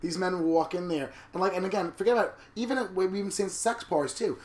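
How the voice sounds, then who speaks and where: A man in his thirties co-hosting a candid casual chat, blunt and animated. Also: sits high, quick pace. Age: 30s. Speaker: podcast co-host. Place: New York.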